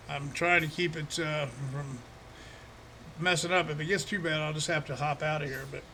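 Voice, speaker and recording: A man chats to his live-stream viewers in an easygoing, chuckling way as he plays. His voice sits a little high and sounds bright, though his throat is froggy and a bit hoarse. A faint hiss runs under the desk mic. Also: fairly even intonation, moderate pace, low-quality recording. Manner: easygoing, chuckling, talks to chat by name. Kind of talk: casual live-stream chat while playing a farming game.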